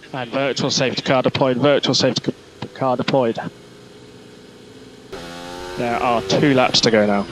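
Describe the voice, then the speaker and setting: A man working as a race engineer gives terse, measured instructions over team radio, often repeating them. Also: mid-range voice. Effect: team radio transmission. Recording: noisy team radio with vehicle sound.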